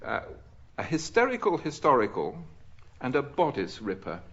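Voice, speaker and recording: A man's voice: medium pitch, wildly swooping pitch, moderate pace, fairly bright tone, slightly rough. A middle-aged British man chairing a radio panel game, chatting and bantering with the contestants. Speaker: quiz show chairman. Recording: dull old radio recording.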